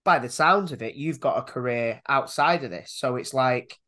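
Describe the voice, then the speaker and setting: A man's voice: mid-range voice, normal speed, bright, forceful tone. A man co-hosting a podcast, talking in a rambling, filler-heavy way. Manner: rambling, lots of fillers, laughs easily. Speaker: podcast co-host. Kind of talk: casual chat over video call. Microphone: webcam mic on a video call.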